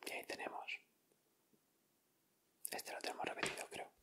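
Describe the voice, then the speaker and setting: A man with a Castilian Spanish accent doing gentle, informal ASMR commentary as he hosts an unboxing. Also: normal speed. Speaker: ASMR video host.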